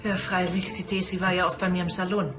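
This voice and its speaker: An actress with a Bavarian accent playing a chatty small-town beauty salon owner in a TV crime comedy. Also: female voice, lowish voice, little pitch movement, quick pace, music in the background, close to an everyday voice. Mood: matter-of-fact.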